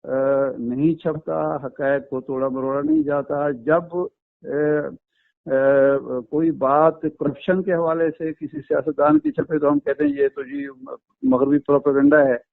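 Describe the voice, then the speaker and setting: A man from Pakistan giving a phone interview, explaining his account in a measured, earnest way with frequent hesitations. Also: on the high side, gravelly, no room sound. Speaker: interview guest. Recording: tinny phone line.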